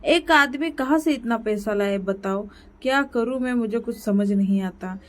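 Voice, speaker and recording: A woman's voice: fairly high pitch, unhurried, lightly acted. A woman voicing a meek, henpecked male crow who pleads with his wife and frets about money. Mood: fretting. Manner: pleading, weary, worried.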